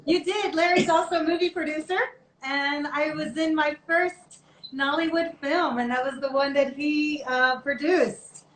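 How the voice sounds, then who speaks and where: A woman's voice: high voice, fairly even intonation, measured pace. A woman hosting a chatty live online interview in a playful, teasing, enthusiastic way. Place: indoor room, online video call. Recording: echoey room with background noise.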